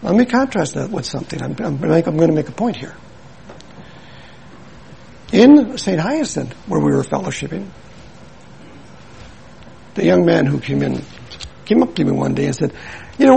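A man's voice: a little high, very animated, normal speed, vocal fry, long pauses, dark tone, a little hiss or hum, low-quality recording. An older man from Milwaukee preaching a sermon in an earnest, emphatic way, repeating his points and telling stories. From Milwaukee, USA.

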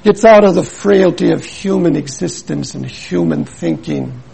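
A man's voice: a little high, unhurried, vocal fry, warm tone, breathy edge, poor audio. An older man from Milwaukee preaching a sermon in an earnest, emphatic way, repeating his points and telling stories. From Milwaukee, USA.

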